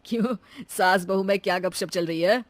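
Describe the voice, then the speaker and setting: A woman's voice: mid-range voice, normal speed, no echo, natural voice. A woman voicing a reasonable, teasing young husband in a cartoon story.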